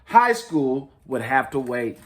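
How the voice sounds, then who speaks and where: A man's voice: mid-range voice, sing-song, takes it slowly. A man hosting a story time, reading a picture book aloud to families in a dramatic, emphatic way. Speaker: children's storybook reader, host.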